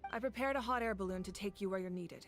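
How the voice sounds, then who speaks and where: A woman's voice: medium pitch, faint music behind, natural voice. Woman playing a grave, resolute war leader. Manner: measured, commanding, formal. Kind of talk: video game voice acting.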